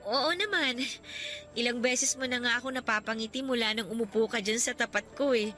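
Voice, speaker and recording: A shy, self-doubting young working woman in a radio drama, her voice high and bright. She speaks softly and hesitantly at first. Faint music plays underneath. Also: fairly quick. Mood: warming. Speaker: Karen.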